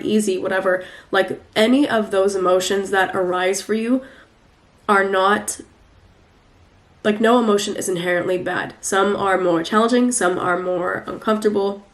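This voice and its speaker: A young woman with a stuffy, nasal voice from a recent flu. She talks through a tarot reading in a loose, chatty way and trails off into fillers. Her pitch sits in a middling range. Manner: chatty, rambling, many fillers. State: congested from the flu. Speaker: tarot reader. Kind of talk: casual weekly tarot reading.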